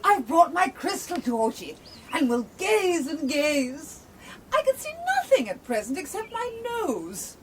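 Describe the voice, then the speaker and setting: A playful opera diva in a radio comedy, breezy and bantering. Her high voice moves in lively swoops, over faint hiss. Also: unhurried. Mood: playful teasing. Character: breezy, teasing prima donna, playful and confident. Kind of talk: British radio comedy acting.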